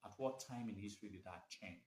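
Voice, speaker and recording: A lowish male voice, emphatic and repetitive, circling back over his own phrases as he hosts a live stream. He stops now and then to read comments off his phone. The sound is roomy and echoey, with a faint hum of ambience behind him. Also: quick pace, a little mellow. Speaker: host of a live video stream. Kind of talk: live streamed talk on history.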